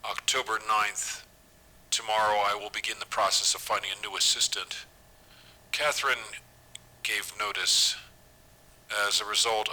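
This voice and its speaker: A man playing a secretive, obsessive doctor in an audio drama, measured and precise in his speech. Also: fairly low pitch, exaggerated voice, poor audio. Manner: measured, precise, hushed dictation. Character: secretive, clinical male doctor, falsely soothing, obsessive. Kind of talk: audio drama acting as taped medical notes.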